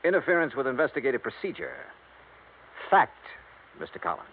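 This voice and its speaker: Guy doing a tough wisecracking investigator, lively up and down delivery, old tinny radio with a little hiss. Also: mid-range voice, natural voice. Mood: dry. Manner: brisk, dry, probing questions. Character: hardboiled male insurance investigator, blunt. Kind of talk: 1950s radio drama acting and narration. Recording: tinny lo-fi 1950s radio broadcast with faint hiss.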